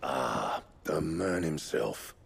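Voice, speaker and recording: An actor playing a gruff, reserved outlaw leader, terse and understated. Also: male voice, rumbling low, lively intonation, very slow, heavily acted. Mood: businesslike. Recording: music behind the voice.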